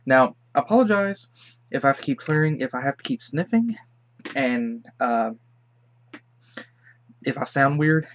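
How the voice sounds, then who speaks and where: A man's voice: mid-range voice, unhurried, pauses often, highs cut off. A young man with a head cold, hosting a casual, rambling reaction commentary. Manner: chatty, rambling, excitable. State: sick with a stuffy head cold. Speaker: reaction video host.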